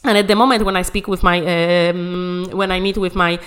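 A woman's voice: lowish voice, steady tone, moderate pace, a bit bright, clean tone. Emphatic woman coaching. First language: Polish. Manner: emphatic, encouraging, repeats key phrases. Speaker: English conversation coach. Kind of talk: motivational language-learning talk.

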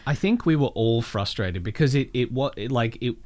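A man's voice: mid-range voice, expressive, moderate pace, darkish tone. A man chatting casually as an interview guest, telling his story with asides. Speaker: voice actor guest.

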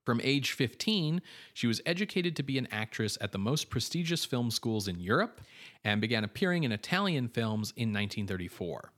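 A man's voice: mid-range voice, very animated, moderate pace, fairly bright tone. A man co-hosting a film podcast, reading background notes on cast and crew in an informative way, with casual fillers and asides. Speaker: podcast co-host.

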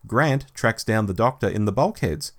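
A man hosting a podcast, narrating a scripted plot summary and reading out headings with deliberate emphasis. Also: lowish voice, lively intonation. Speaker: podcast host.

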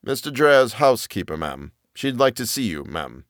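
A man's voice: medium pitch, very animated, moderate pace, a bit bright, no room sound, studio quality. Deferential butler, voiced by a man. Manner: polite, deferential. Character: dutiful male butler.

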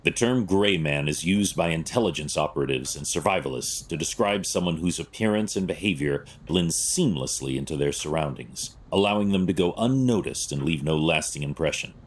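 Brooding vigilante detective, very deep husky voice, terse, clinical, commanding, audio drama. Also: male voice, lively intonation, fairly quick, hardly any pauses, full character voice. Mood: clinical, measured.

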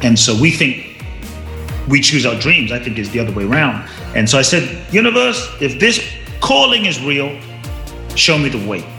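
Animated man telling his story in a roomy space. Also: normal speed, gravelly, faint music behind. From London. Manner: animated, emphatic, rising to sudden high peaks. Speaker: guest speaker. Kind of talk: storytelling interview. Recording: roomy echo.

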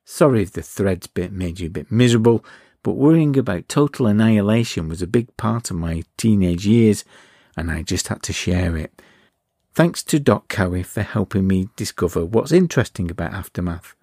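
A middle-aged man reading a wry, relaxed podcast outro as host. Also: fairly low pitch, moderate pace, no room sound, studio quality.

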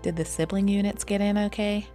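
A woman's voice: mid-range voice, fairly even intonation, fairly quick, warm tone, pitched above the performer's own voice, lightly acted. A woman reader plays a young woman student in an audiobook. She is determined and pointed, holding back exasperation in clipped replies. Faint music plays behind her. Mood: breezy, pointed. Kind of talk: audiobook character voice.

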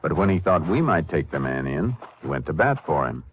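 An actor playing a calm, authoritative Texas Ranger in an old-time western radio drama, measured and firm. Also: male voice, a bass voice. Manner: measured, firm, matter-of-fact. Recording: muffled, noisy 1950s radio recording.

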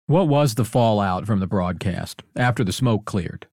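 A man's voice: on the low side, lively intonation, moderate pace, a little mellow, dry recording, clean studio sound. A man hosting a casual conversational interview in a wry, joking manner. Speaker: interview host.

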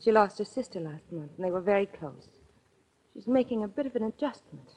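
A woman's voice: medium pitch, animated, brisk, a little mellow, husky. An actress playing a poised, gracious and coy young society woman in a 1940s radio drama. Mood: matter-of-fact. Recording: noisy vintage 1940s radio recording with clicks.

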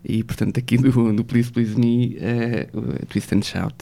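A man's voice: medium pitch, little pitch movement, a little slow, gravelly, dark tone, slight background noise. A man with a European Portuguese accent, chatting casually as a podcast co-host, joking and self-mocking. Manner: joking, self-mocking, laughs mid-sentence. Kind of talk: casual chat about music.

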